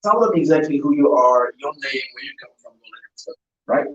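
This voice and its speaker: A man hosts a live online interview in a slightly high-set voice. His delivery is halting, and he often repeats himself. He sounds distant from the microphone, in an echoey, poor-quality recording. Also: expressive, dull audio. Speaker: talk show host. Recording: roomy echo, distant mic, poor sound.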